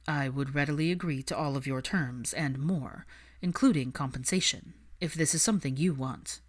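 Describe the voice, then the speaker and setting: A woman voicing a weary, authoritative male government minister in a narrated story, speaking formally, firmly and with measured phrasing. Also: low voice. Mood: agreeable.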